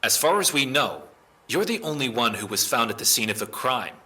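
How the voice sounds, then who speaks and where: An actor playing a stern, suspicious police interrogator in an audio drama, formal and pressing. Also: male voice, mid-range voice, very animated, a little fast, sharp tone. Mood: accusing.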